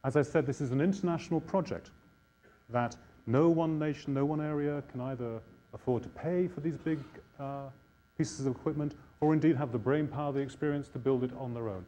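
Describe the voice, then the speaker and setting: A Scottish man speaks as a public lecturer in an informal style. His voice is mellow and sits at a medium pitch. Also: a little fast. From Scotland.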